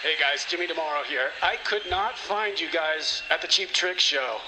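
A man's voice: on the high side, moderate pace, natural voice, poor audio. A man playing a sly, chummy rock roadie, talking in a casual, self-satisfied way in comedy radio theater. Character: sly, chummy male rock roadie and small-time schemer. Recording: music playing behind the voice.

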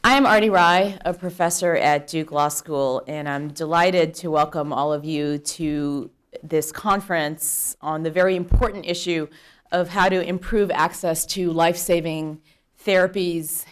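A woman's voice: sits low, clear, resonant tone. Earnest woman lecturing at a podium. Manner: earnest, measured lecture delivery with frequent ums. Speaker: law professor opening a conference. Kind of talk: welcoming speech at a podium. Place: conference room with audience. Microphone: podium microphone.

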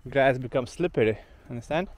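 A woman's voice: very deep voice, a little fast, darkish tone. A woman patiently explaining things to a child and teasing him on a family walk through rainy gardens. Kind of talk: casual family chat. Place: outdoors in rainy country house gardens. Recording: noisy outdoor camera audio.

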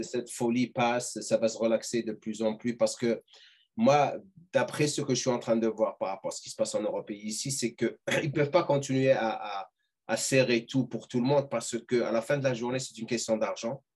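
A man's voice: medium pitch, darkish tone, husky. A middle-aged man hosting a podcast over a video call, giving advice and often checking if he is understood. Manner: advising, asks "tu vois ce que je veux dire?" often. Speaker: podcast host. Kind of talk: casual video-call interview. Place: home room with gaming chair. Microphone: webcam on a video call.

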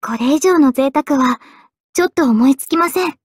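Anime game role: regal young female sword spirit acted by a woman, high-pitched, gentle, measured and polite, very dry studio sound. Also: no echo, close to an everyday voice. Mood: soft, playful. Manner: poised, polite, measured delivery. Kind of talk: Japanese anime game voice acting. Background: faint background music.

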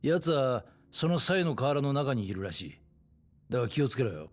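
An actor playing a gruff, world-weary middle-aged detective in a video game cutscene, matter-of-fact in delivery. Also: male voice, medium pitch, big pitch swings, moderate pace. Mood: serious, cautioning. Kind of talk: Japanese game cutscene acting.

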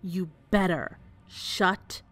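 A woman voicing a cool, commanding female villain with a measured, formal, menacing manner. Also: on the low side, fairly bright tone, voice pushed down. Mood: cold menace. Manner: measured, formal, controlled threat.

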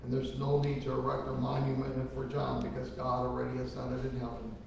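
A man's voice: medium pitch, monotone, brisk, creaky, slight background noise. Middle-aged male pastor, earnest folksy funeral preacher, roomy church sound from far off. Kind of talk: funeral sermon. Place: large church sanctuary. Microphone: lectern microphone from a far camera.

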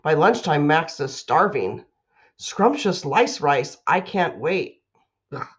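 Lady principal talking to kids, takes it slow, kinda muffled computer mic. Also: deep voice, animated. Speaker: school principal. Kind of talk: children's read-aloud and announcements.

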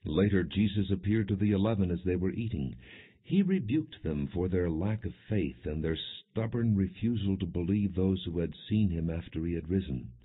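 A man narrating scripture as an audiobook, reading in a solemn, measured, expressive manner. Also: deep voice, slightly rough. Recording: dull, phone-like narrow-band audio.

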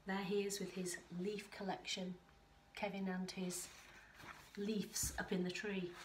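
A woman's voice: mid-range voice, little pitch movement, takes it slowly, long pauses, darkish tone, slight background noise. A middle-aged woman reading a picture book aloud to young children, with rhythmic delivery and chatty asides. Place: living room at home. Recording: roomy, echoing home living room.

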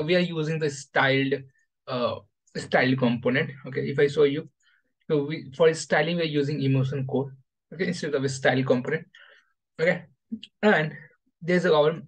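A man hosting an informal screen-share tutorial, talking in a halting way and repeating his phrases. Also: fairly high pitch, poor audio. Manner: halting, repetitive phrasing with frequent "you know". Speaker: host of a coding tutorial channel. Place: voice-over for a screen recording.

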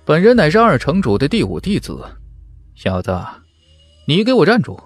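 A haughty young swordsman, voiced by a male narrator, barks pompous orders. His voice is raised and forceful, in fantasy audiobook style. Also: a little high, huge pitch swings, normal speed, dry recording, faint music behind, voice pushed up. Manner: barking, pompous. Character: arrogant young swordsman disciple in grey.

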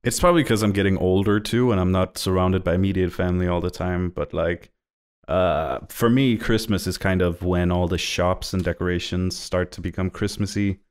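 A man's voice: low-pitched, steady tone, moderate pace, clear, resonant tone. A young Danish man co-hosting a podcast, chatty and jokey, with rambling asides. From Denmark. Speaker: podcast co-host. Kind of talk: casual chat.

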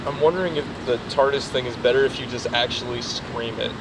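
Guy behind the camera at a pool, dry teasing tone, clear outdoor noise around. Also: mid-range voice, fast. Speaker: camera operator. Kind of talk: casual chat off camera.

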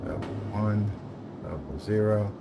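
A man giving casual, low-key gameplay commentary, musing to himself as he plays. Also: a little slow, creaky, heavy background noise. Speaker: gamer narrating a playthrough. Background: video game ambience.

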